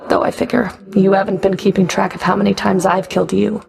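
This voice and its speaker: Casting: stern female Fury guard for a video game; gravelly creak, mid pitch, cool sardonic taunts, curt commands. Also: level tone, fast talker, darkish tone. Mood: sardonic, menacing. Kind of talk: video game character voice lines.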